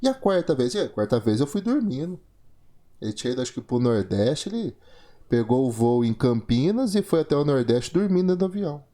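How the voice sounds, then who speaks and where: Brazilian man, forties, motivational talk host, repetitive and emphatic, mid pitch. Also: animated, brisk, dark tone. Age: 40s. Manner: emphatic, repetitive, rhetorical questions. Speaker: trading course host. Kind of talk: motivational monologue.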